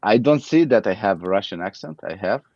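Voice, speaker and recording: A man in his thirties from Romania with a Romanian accent, speaking English as a second language in a casual interview, halting and searching for words. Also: on the low side, expressive, a little mellow, no echo, highs cut off. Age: 30s. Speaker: guest.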